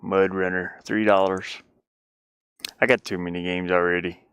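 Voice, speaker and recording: A man chatting casually on a game livestream, rambling and thinking aloud in a halting way. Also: low-pitched, little pitch movement, fairly bright tone, clean tone, no echo. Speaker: game streamer and indie game developer.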